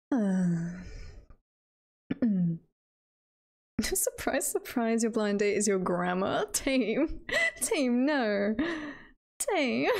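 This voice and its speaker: Girl streamer just chatting, slow and really creaky voice, kinda giggly and playful. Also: fairly high pitch, animated, a little mellow. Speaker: streamer and voice performer. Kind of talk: casual livestream chat.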